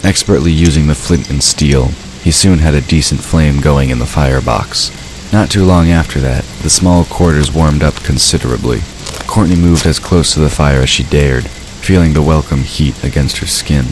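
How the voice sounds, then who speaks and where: A man's voice: a bass voice, moderate pace. A man narrating a scripted story as the narrator of an audio drama. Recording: clear background ambient noise. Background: ambient sound effects.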